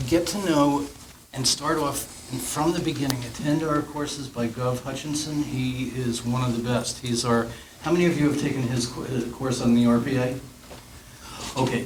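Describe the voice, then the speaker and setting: Middle-aged guy on a panel giving practical tips, roomy echo, bit of hiss. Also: mid-range voice. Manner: earnest, practical, a few throat clears. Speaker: real estate panelist. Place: meeting room. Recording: echoey room, faint noise.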